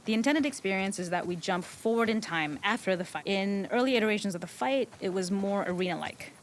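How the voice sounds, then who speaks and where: A woman's voice: mid-range voice, expressive, a little fast, slight background noise. A woman working as a game level designer gives explanatory developer commentary on her work.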